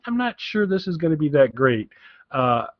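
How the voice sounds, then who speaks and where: A man co-hosting a podcast, giving a casual recommendation in a rambling, halting way and often repeating his phrases. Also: on the high side, sing-song, no room sound, dull audio. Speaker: podcast co-host.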